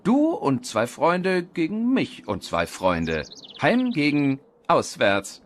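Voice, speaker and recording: A man voicing a confident, friendly young race car in a German-dubbed animated cartoon, with enthusiastic sporting banter. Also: fairly high pitch, slow, slight background noise, heavily acted. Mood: easygoing.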